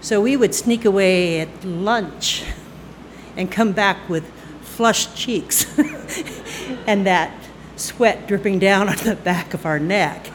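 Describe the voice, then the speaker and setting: A woman in her sixties telling a personal story on stage into a microphone, conversational and halting, with fillers and bursts of laughter. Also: mid-range voice, slight background noise. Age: 60s. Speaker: storyteller at a live storytelling night. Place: stage at a live event.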